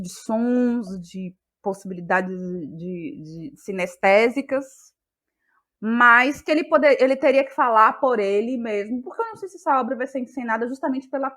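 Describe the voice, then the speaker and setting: Higher-pitched Bahian Brazilian woman, thoughtful. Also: fairly bright tone. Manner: thoughtful, halting, searching for words. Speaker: guest, playwright and actress. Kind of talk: reflective interview talk.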